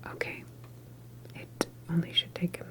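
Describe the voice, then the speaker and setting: Woman for ASMR medical roleplay: breathy whisper, unhurried, calm clinical doctor, reassuring and procedural. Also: rough recording.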